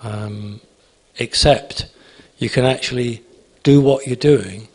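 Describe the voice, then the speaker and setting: A man's voice: medium pitch, slow, darkish tone, rough recording. A man speaking at a conference, emphatic and repetitive, answering audience questions. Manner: emphatic, repeats phrases, asks "okay?".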